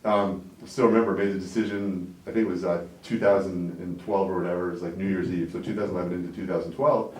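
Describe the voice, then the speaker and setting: A man's voice: deep voice, steady tone, quick pace, few pauses, echoey room, recorded from a distance, poor audio. A man in his forties from Canada, talking casually as an interview guest in a rambling way, often tagging on "right?" and "like". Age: 40s. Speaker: interview guest, business owner.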